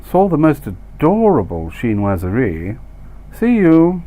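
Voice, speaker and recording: Casting: male reader as chatty expat society lady for audiobook comedy, raised pitch, gushing telephone manner in short clipped phrases. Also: wildly swooping pitch, takes it slowly, dark tone. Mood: breezy, chatty.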